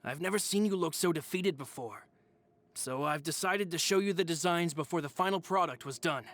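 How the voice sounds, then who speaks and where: A man's voice: a little high, fairly bright tone, no room sound, natural voice. Man voicing a polite, loyal right-hand man. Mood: reassuring. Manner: polite, measured, reassuring. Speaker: game character. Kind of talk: video game voice acting. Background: game music.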